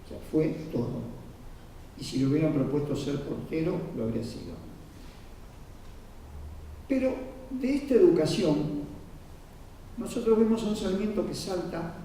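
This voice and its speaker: The man you would hear from the back row of a big, booming conference hall, his very mellow, dull-toned voice explaining history point by point from the distant lectern. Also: sing-song, moderate pace, long pauses, slight background noise. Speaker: lecturer at a conference. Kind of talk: formal lecture on history, read from notes with asides. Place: large reverberant conference room. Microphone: distant microphone. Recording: very echoey hall, muffled, far from mic.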